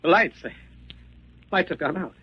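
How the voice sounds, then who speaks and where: A man's voice: on the high side, expressive, moderate pace, breathy edge, no room sound. An actor playing a frightened, haunted businessman, stammering and pleading in a 1940s radio mystery. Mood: terrified. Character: panicky, haunted businessman, fearful for his life. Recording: muffled 1940s radio recording.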